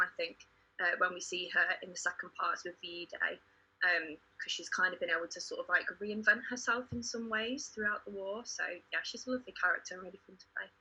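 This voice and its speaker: A woman's voice: fairly low pitch, sharp tone, breathy edge, poor audio. A woman, an actor chatting casually on a panel.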